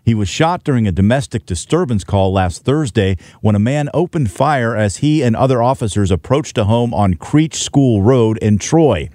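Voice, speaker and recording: A man's voice: medium pitch, lively intonation, moderate pace, few pauses, mellow, no echo, studio quality. A man reading a scripted radio news report as a reporter.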